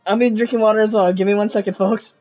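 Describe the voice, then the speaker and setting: A woman's voice: mid-range voice, fairly even intonation, fast, warm tone. A woman giving casual, chatty, playful live commentary as she streams a game. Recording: dull, lo-fi mic. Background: game music.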